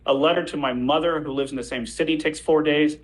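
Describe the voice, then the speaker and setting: A man's voice: a little high, steady tone, quick pace, poor audio. A man speaking as a defense lawyer in a courtroom, making an insistent but formal, courteous legal argument.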